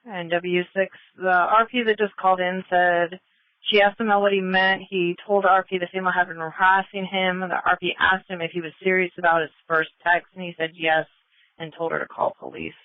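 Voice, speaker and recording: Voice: mid-range voice, flat delivery, brisk, no room sound, phone-quality sound. A police dispatcher on a scanner radio, relaying and repeating messages in a clipped, businesslike way with radio codes. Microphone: two-way radio.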